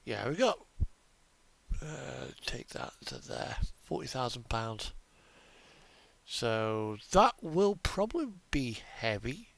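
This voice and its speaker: Slow, creaky-voiced man casually thinking aloud. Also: mid-range voice, sing-song, fairly bright tone. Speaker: gaming video host. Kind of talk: casual, rambling gameplay commentary.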